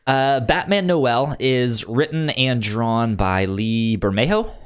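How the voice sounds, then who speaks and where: Guy chatting on a podcast, kinda slow, stammers over words, pitch moves around a lot. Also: lively intonation, smooth. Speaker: podcast co-host. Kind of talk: casual comics pitch chat.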